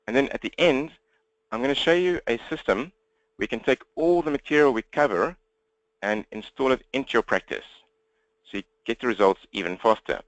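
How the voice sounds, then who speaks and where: A South African man leads an online training session as its presenter. His voice sits at a medium pitch and is recorded close and dry. He talks quickly and plainly, putting questions to his listeners to keep them involved. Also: no echo, lo-fi sound. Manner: fast-talking, direct, engaging listeners with questions. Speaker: webinar presenter and coach for accountants. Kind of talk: interactive webinar presentation. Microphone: computer webinar microphone, presenter standing.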